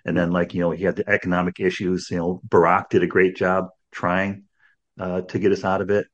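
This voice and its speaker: Low husky older man, rambling podcast host. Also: little pitch movement, a little fast, muffled recording. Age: 60s. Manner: rambling, many restarts and "you know"s. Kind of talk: casual podcast conversation.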